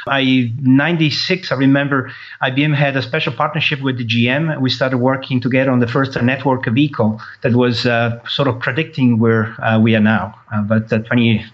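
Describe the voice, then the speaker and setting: A man, a tech company founder, answering interview questions in a halting way full of "uh" fillers. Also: medium pitch, steady tone. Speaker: company founder and CTO guest. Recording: dull lo-fi line.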